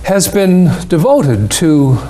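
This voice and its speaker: A man hosting an interview, asking measured questions with frequent pauses and fillers. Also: high voice, sing-song, dark tone. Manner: deliberate with many hesitations and fillers. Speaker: interview host.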